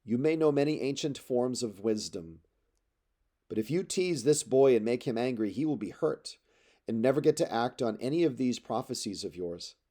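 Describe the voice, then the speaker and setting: A man reading aloud plays a contemptuous suitor in an epic tale. He sneers and threatens with heavy emphasis, his timbre dark and mellow. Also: medium pitch, lively intonation, normal speed, lightly acted. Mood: scornful. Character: scornful, threatening male suitor sneering at an elder. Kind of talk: dramatic epic poetry read aloud.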